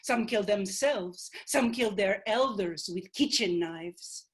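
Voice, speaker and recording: A woman's voice: mid-range voice, measured pace, slightly rough. A woman voicing the fierce spirit of an ancient female ancestor, stern and commanding in a one-woman stage monologue.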